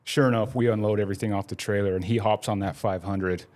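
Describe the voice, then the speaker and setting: A man's voice: lowish voice, steady tone, fast talker, darkish tone. A man in his forties, a podcast guest, telling stories casually and rambling, with lots of "like" fillers. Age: 40s. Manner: rambling, heavy use of "like" fillers. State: laid up with a broken leg. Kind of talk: casual storytelling.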